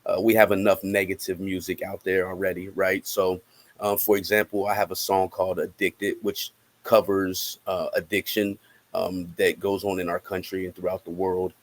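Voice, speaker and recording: American guy with a lowish voice, chatting in an interview, kinda halting while he thinks. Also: flat delivery. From Cincinnati, United States. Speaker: interviewed musician and rapper. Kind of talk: casual interview chat.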